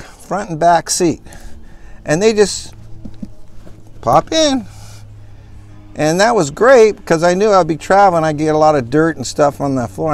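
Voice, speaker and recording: A man casually walking through how he fitted gear into his pickup and camper, talking plainly with lots of fillers. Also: high-pitched. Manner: plain, unhurried, homespun phrasing with fillers. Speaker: vlogger showing his truck camper. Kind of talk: casual show-and-tell walkthrough. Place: in and around a pickup truck.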